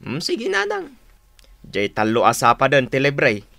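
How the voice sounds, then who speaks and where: A man's voice: mid-range voice, huge pitch swings, unhurried, creaky, bright, forceful tone, no room sound, heavily acted. A man acting in a radio drama as an easygoing younger village fruit seller with an Ilocano accent. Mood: friendly, bargaining.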